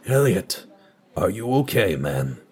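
A man playing a concerned older office co-worker in an audio drama, questioning gently. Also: deep voice, big pitch swings, slow, slightly rough, heavily acted. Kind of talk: audio drama character dialogue.

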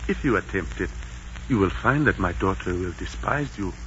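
Aztec emperor: low, dignified, resolute, solemn old-time radio acting, faint noise on the recording. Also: male voice, animated, a bit bright. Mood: stern warning. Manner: stately, solemn, formal, deliberate. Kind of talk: 1940s radio drama acting. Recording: muffled, noisy 1940s radio recording.

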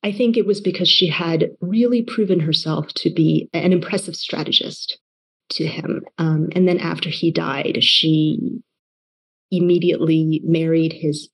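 A woman's voice: sits low, fairly even intonation, unhurried, breathy edge, rough recording. A young adult woman from Taiwan, a novelist talking about her book in a casual interview, full of fillers and restarts. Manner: chatty, many fillers and restarts. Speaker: novelist guest.

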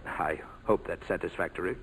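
A wealthy, suave client in a vintage radio play. He speaks formally and precisely in a lowish voice, with a condescending, faintly sinister politeness. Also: normal speed, a bit bright, slightly rough, exaggerated voice, poor audio. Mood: suave, controlled. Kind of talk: 1940s radio drama acting.

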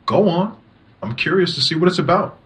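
An actor playing an easygoing, wealthy master of the house in a casual audio drama style. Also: male voice, fairly high pitch, lively intonation, a little fast, a bit bright. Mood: curious, friendly.

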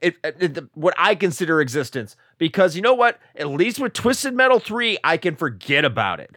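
A profane man ranting on a gaming podcast, his voice leaping high and swinging widely as he works himself up. The tone is bright and the sound dry and close. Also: no room sound. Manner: profane, ranting, loud bursts. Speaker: podcast co-host. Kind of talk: ranting casual podcast chat.

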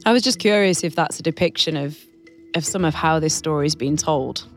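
A woman's voice: sits low, a little fast, faint music behind. A woman interviewer asking questions in a conversational way.

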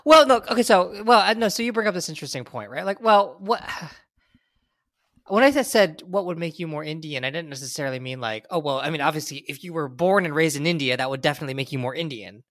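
A man with an American accent chatting as a podcast co-host, often halting and rephrasing himself as he goes. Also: fairly high pitch, fairly bright tone, no room sound, clean studio sound. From United States. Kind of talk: casual conversational discussion.

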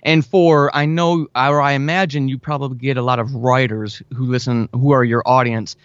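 A man's voice: mid-range voice, steady tone, normal speed, dry recording, muffled recording. A man chatting informally and earnestly as an interview guest, full of enthusiasm for his craft. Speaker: interview guest, audio drama writer. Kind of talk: casual chat.

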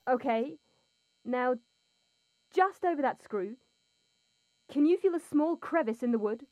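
A gentle, hesitant guide. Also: female voice, sits high, animated, unhurried, no echo. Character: calm, hesitant woman giving instructions.

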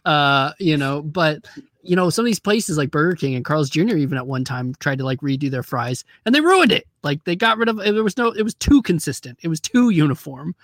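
A man's voice: on the high side, sing-song, a little fast, fairly bright tone, no echo. Rambling, jokey man in a dry room. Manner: rambling, jokey, lots of fillers and self-interruptions. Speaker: podcast co-host and actor. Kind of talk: casual chat.

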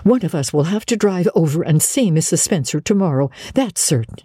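A woman's voice: lowish voice, animated, a little fast, mellow, breathy edge, no room sound. A woman voicing a stern, exasperated middle-aged farm woman in an audiobook, with curt, emphatic scolding. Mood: indignant. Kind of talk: audiobook character acting.

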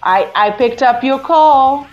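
A woman from Portugal with a Portuguese accent, a native Portuguese speaker, hosting a casual live call-in show in a halting way with repeated words. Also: sits high, animated, darkish tone. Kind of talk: casual live chat with listeners. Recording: dull lo-fi app audio with music. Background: music.

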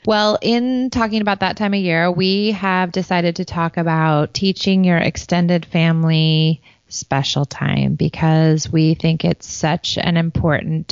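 A woman co-hosting a podcast in casual chat, telling stories with animated, acted-out quotes. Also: deep voice, creaky, dry recording, highs cut off. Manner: animated storyteller, acts out quoted voices. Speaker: podcast co-host.

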